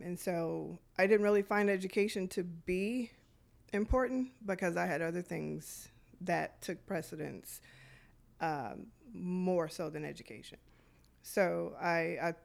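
A woman's voice: fairly low pitch, gravelly, a little mellow. A middle-aged woman from Detroit, a youth programs director, telling her life story in a podcast interview, reflective and full of fillers.

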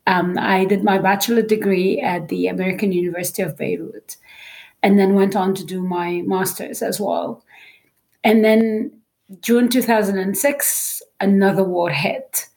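A woman in her thirties from Lebanon, a psychologist guest who tells her story in a conversational way with many hesitant pauses. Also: mid-range voice, steady tone, vocal fry, a little mellow, rough recording.

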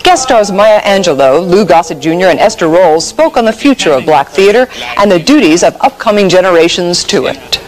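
A woman's voice: on the low side, big pitch swings, a little fast, few pauses, poor audio. Woman presenting scripted news. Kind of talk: broadcast news report.

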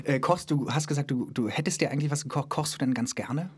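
A man hosting a podcast in a relaxed, joking manner, interviewing a colleague. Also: mid-range voice, quick pace, breathy edge. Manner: playful, lively, jokes with the guest. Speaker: podcast host, actor and author at a children's theater.

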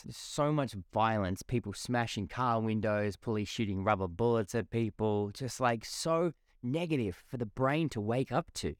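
A man's voice: medium pitch, no room sound, studio quality. A man in his twenties hosting a solo motivational podcast. He talks in a casual, chatty, blunt way and swears freely. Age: 20s. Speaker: podcast host.

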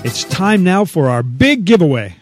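Slightly high-voiced man, jokey radio co-host. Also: sing-song, moderate pace, soft background music. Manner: jokey, self-deprecating. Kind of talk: scripted comic radio banter.

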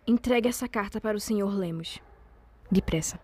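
A woman playing a rich, shrewd young Brazilian lady in an audio drama, measured and commanding. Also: mid-range voice, warm tone, a little hiss or hum, natural voice. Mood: cold, commanding. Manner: cold, measured, imperious. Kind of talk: audio drama acting of a period novel.

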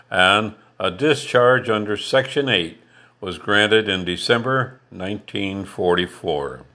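An older man hosts his own podcast, reading a prepared biography at an unhurried pace. His voice sits a little low but has a bright, forward edge. He is from Canada, and French was his first language. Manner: measured, deliberate reading. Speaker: podcast host. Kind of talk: scripted biographical narration.

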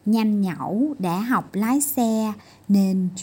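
Người phụ nữ kể chuyện, giọng hơi khàn, chậm rãi. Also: female voice, mid-range voice, slow, mellow, husky. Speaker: storyteller. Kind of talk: scripted children's fairy-tale narration.

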